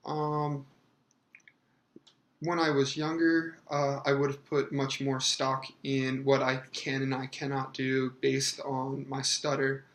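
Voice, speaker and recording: A man in his thirties answering interview questions hesitantly, with many fillers and pauses. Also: mid-range voice, monotone, fairly bright tone, echoey room. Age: 30s.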